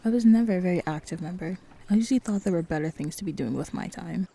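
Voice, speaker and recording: A woman acting a teasing, self-assured superhero in a roleplay audio drama, with a husky, gravelly voice and dry, bantering delivery. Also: on the low side, expressive, fast, warm tone, slight background noise. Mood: confident, matter-of-fact.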